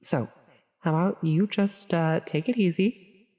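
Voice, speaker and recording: A woman voicing a caring, flustered demon who insists she is evil, reassuring and chatty with stammers and self-interruptions, in a soft comfort audio roleplay. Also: lowish voice, unhurried, lightly acted. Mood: gently reassuring. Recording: long reverberant echo on voice.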